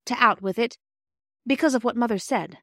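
Woman voicing an earnest young girl, raised pitch. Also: normal speed, fairly bright tone, no room sound, clean studio sound. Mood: hesitant. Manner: hesitant, polite, earnest. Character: earnest, anxious young girl, polite and eager. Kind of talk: storybook character dialogue.

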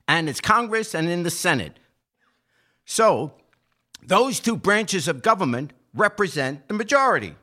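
A man's voice: fairly high pitch, sharp tone. An American man co-hosting a talk podcast, explaining his political views emphatically and driving points home with rhetorical questions. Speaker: podcast co-host. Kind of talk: opinionated political discussion.

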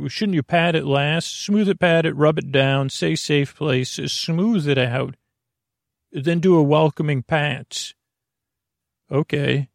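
A man with a creaky voice hosting a bedtime podcast, meandering and halting through a lulling, soothing monologue full of tangents. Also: medium pitch, no echo, clean studio sound. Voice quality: creaky, gravelly.

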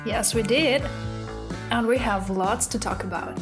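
A woman's voice: on the high side, measured pace, music in the background. A woman in her early twenties co-hosting a casual podcast with a friend, talking with lots of fillers and small laughs. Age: 20s. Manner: hesitant, many fillers, giggly. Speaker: podcast co-host, psychology student. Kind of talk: casual chat.

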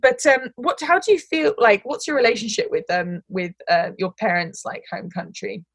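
A woman's voice: mid-range voice, normal speed, creaky, fairly bright tone, no echo. Host voice: woman, chatty and rambling, lots of fillers, playful asides. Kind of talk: casual chat.

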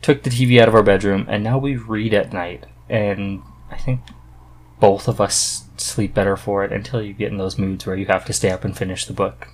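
A man's voice: on the low side, fairly even intonation, moderate pace, slight background noise. A man hosting a podcast in a casual, conversational style. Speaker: podcast host.

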